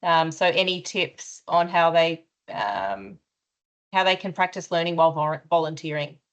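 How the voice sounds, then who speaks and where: A woman with a lowish voice reads out an audience question on a video call. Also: unhurried, highs cut off. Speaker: webinar team member reading questions. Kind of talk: reading a question aloud.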